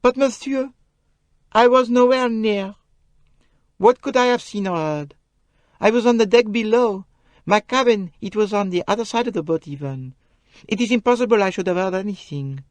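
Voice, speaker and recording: Man doing a nervous young French maid, French accent, high voice, dry sound, emphatic, pleading. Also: normal speed, no echo, lightly acted. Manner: pleading, emphatic, broken English phrasing. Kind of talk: audiobook character voice.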